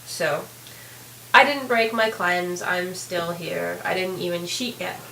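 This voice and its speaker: A woman with a hoarse, raspy voice giving a candid, rambling video diary update, full of fillers. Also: fairly low pitch, fairly even intonation, takes it slowly, bright, forceful tone, reverberant, a little hiss or hum, rough recording. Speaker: vlogger.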